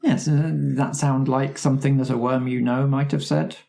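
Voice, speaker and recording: A woman's voice: a bass voice, fairly even intonation, normal speed, creaky, mellow. A woman playing a tabletop game, bantering casually. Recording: echoey room.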